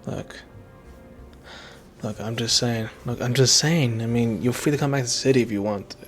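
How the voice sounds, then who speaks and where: A man's voice: mid-range voice, normal speed, faint music behind. A man giving casual gameplay commentary, muttering to himself and swearing freely. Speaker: video game player.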